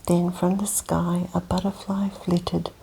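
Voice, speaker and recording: A woman whispering softly as she reads a children's picture book aloud for an ASMR session. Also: on the low side, flat delivery, slow pace, creaky, slight background noise, poor audio. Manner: soft gentle whisper. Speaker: host. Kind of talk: ASMR whispered storybook reading.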